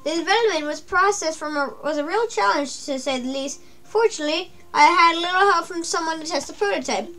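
A woman's voice: very high voice, fairly quick, bright, forceful tone, exaggerated voice, low-quality recording. A woman reading as an old inventor professor. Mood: chipper. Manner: reading on-screen dialogue aloud. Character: elderly male inventor professor, cackling.

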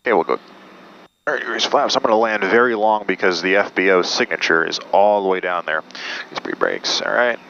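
A man's voice: low-pitched, big pitch swings, fairly quick, creaky, pushed and bright, muffled recording. A man piloting a small plane, narrating his approach and making radio calls in clipped checklist phrases. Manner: clipped checklist call-outs. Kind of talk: cockpit commentary and radio calls. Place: small aircraft cockpit in flight. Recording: noisy, band-limited cockpit audio.

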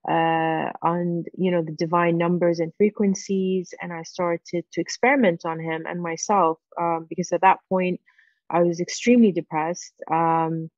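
A woman in her forties, a native Farsi speaker, telling a personal story on a video call with many pauses and fillers. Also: on the low side, little pitch movement, darkish tone, no room sound. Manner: halting, many fillers and restarts. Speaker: guest. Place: home room with windows. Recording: dull, dry video-call audio.

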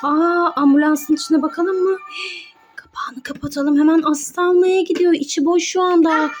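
Woman, very high voice, playful toy-video narration, exclamatory, sing-song, talking to children. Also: steady tone, moderate pace, soft background music.

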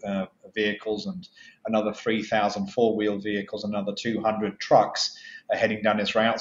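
Male executive, 50s, low register, emphatic interviewee; echoey, muffled video-call sound. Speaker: postal company chief executive, interview guest. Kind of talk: conversational interview answers. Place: office, indoors.

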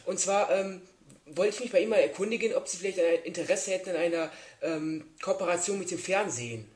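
Young guy on a phone call being super polite, stumbles a bit, higher voice, echoey room. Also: a little fast. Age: young adult. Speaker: prank caller, show host. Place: living room, indoors.

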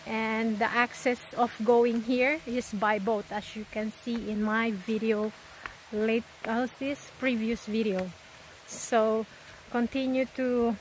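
Woman, vlogger, curious questions, slow talk, phone camera outdoors. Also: on the high side, slight background noise, poor audio. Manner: curious, asks many simple questions. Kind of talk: casual vlog chat. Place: outdoors by a stream.

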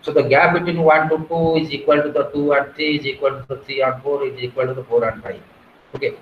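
A man's voice: medium pitch, little pitch movement, fast talker, phone-quality sound. A man, giving an online lecture and explaining step by step with frequent "uh" and "okay". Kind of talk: online lecture on research methods. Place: small room at home. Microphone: wired earphone mic on a video call. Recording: echoey room with faint background noise.

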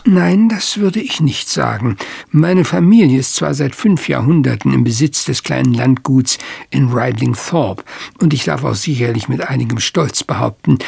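An actor playing an earnest, proud and worried English country squire in an audio drama, husky and breathy. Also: male voice, mid-range voice, no echo, exaggerated voice. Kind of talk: German audio drama acting.

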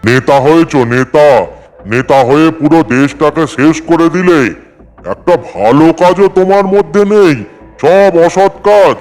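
A man's voice: on the high side, unhurried, darkish tone, faint music behind, natural voice. A man voicing a stern lord of death in a cartoon, scolding and giving commands over sinners. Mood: scornful. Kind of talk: Bengali cartoon voice acting.

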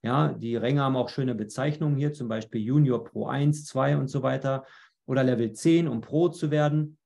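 A man's voice: mid-range voice, moderate pace, gravelly, dark tone. A man presenting a webinar, walking viewers through a dashboard on screen, explaining step by step with frequent fillers and restarts. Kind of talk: screen-share walkthrough.

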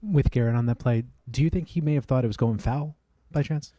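A male reporter asking a measured question at a press conference. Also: medium pitch, warm tone, a little hiss or hum.

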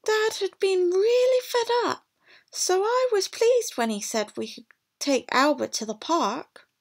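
A woman's voice: very high-pitched, lively intonation, measured pace, voice pushed up, exaggerated voice. A woman voicing a cheerful, cheeky, outspoken young girl in a storybook, in a chatty manner. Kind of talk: storybook read-aloud.

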